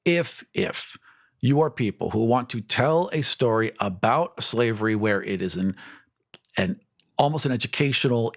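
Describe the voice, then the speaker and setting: Blunt, casual man co-hosting a podcast. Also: medium pitch. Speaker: podcast co-host. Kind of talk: casual chat about tabletop game monsters.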